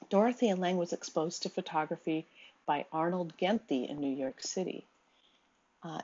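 A woman lectures in a measured, steady voice over a sequence of slides. Her voice sits low and is somewhat husky. The recording is dull and lo-fi. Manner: measured, reads quotes aloud, occasional ums.

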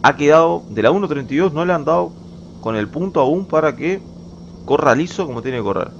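A man's voice: a little high, lively intonation, brisk, vocal fry. A young man from Uruguay with a Uruguayan Spanish accent, chatting casually and rambling as he plays a truck-driving game at home. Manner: chatty, rambling, restarts words often. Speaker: gaming YouTuber. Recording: slightly dull home recording with faint game noise.

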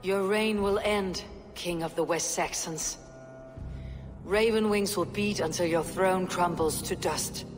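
A proud, defiant Viking warrior woman with a low, grave voice. She speaks solemnly in archaic phrases, as in an epic game, in a reverberant hall with music behind. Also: fairly even intonation, slow pace. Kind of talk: dramatic video game acting.

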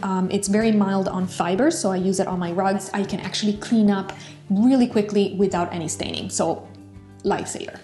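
A woman in her thirties talks casually to a camera set up across her home kitchen, relaxed and chatty, sometimes tripping over a word and trying it again. Her voice sits at an ordinary middle pitch. The room gives it a noticeable echo, and soft music plays faintly underneath. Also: fairly quick. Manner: chatty and relaxed, with filler words and frequent self-corrections. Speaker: pet care vlogger. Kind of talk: casual how-to talk to camera. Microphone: camera on tripod at a distance.

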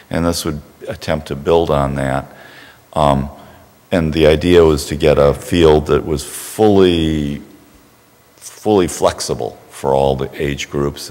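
A man's voice: rumbling low, darkish tone. A middle-aged man explaining his case to a board at a public meeting, restarting his sentences as he goes, standing at a podium in a council chamber. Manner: gestures broadly, talks in halting restarts. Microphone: podium mic, turned away from it. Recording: echoey council chamber audio.

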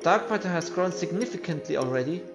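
A man with a high-pitched voice reads out video game dialogue in character. He is a non-native English speaker voicing a story playthrough, and game music plays behind him. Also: animated, fast. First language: not English.

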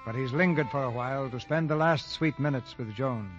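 Male old-time radio storyteller, scripted delivery, dull recording, soft music underneath. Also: medium pitch, a little fast. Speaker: radio drama narrator. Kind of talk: scripted 1940s radio narration. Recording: muffled 1940 radio recording, faint music.

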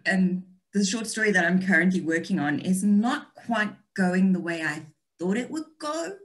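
A woman's voice: medium pitch, expressive, normal speed. A woman, chatting casually and playfully as an interview guest over a video call from a room at home. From South Africa and Austria. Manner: wry, playful. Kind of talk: casual chat.

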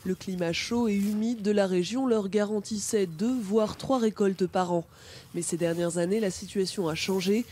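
A woman voices a scripted TV news report in a measured, even delivery. Her tone is warm and mellow, with faint ambience behind her. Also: mid-range voice, a little hiss or hum. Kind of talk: scripted voice-over narration.

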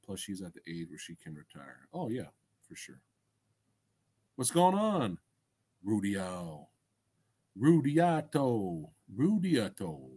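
A middle-aged man with a husky voice and a very lively, swinging pitch. He hosts a casual livestream, chatting to viewers in enthusiastic slang peppered with swearing. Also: slow, long pauses. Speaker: livestream host and film reviewer. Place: small indoor room crammed with collectibles. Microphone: desk microphone close in front.

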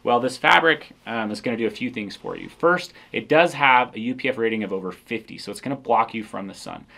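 Seated at a camera in an indoor studio, a man in his thirties talks through a product in a casual, explanatory way. His voice sits at an ordinary middle pitch, and he fills pauses with frequent ums. He sounds relaxed. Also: vocal fry. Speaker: company founder presenting a product. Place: indoor studio set with hanging jackets.

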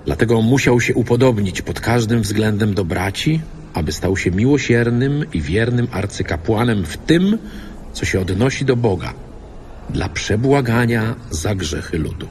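A man's voice: on the low side, lively intonation, moderate pace, a little mellow, slight background noise. A man reading scripture from a script in an earnest, explanatory way. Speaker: scripture reader.

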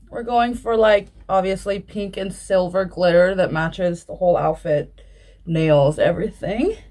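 A young adult woman talks informally to a camera held close in a small room at home. Her voice sits a little higher than most women's. She speaks in a loose, rambling, casual way, as a vlogger does.

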